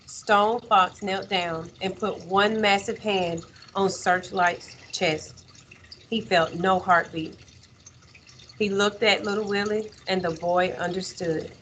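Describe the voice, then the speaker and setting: A woman teacher reading a children's story aloud in an expressive, measured way, with dramatic pauses. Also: mid-range voice, slight background noise, low-quality recording.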